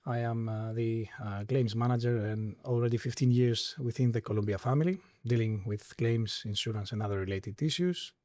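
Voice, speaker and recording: A man's voice: medium pitch, moderate pace, few pauses, mellow, dry recording, clean studio sound. A man, a claims manager speaking hesitantly with many fillers in a podcast conversation. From Greece.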